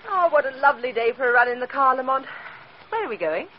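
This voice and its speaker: A woman's voice: sits high, lively intonation, fast talker. An actress playing a curious young society woman in 1940s radio style. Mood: cheerful, curious. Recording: muffled, clearly noisy 1940s radio recording.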